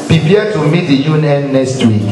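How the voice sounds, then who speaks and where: A man's voice: on the high side, lively intonation, normal speed. A very reverberant hall carries the voice of a Nigerian man with a Yoruba accent, with a crowd audible around him. He addresses a congregation as a youth leader, in an emphatic, repetitive, exhorting way. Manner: rhetorical questions to listeners, repetitive emphasis. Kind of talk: exhortation to a congregation, leading a pledge. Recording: very echoey hall with crowd noise. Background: congregation murmur.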